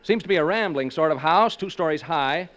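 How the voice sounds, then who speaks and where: A man's voice: fairly high pitch, animated, brisk, fairly bright tone. An actor playing a skeptical, joking male reporter broadcasting a ghost hunt, in 1940s radio acting style. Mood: jokey, chatty. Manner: joking, breezy, chatty with listeners. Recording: dull old 1940s radio recording.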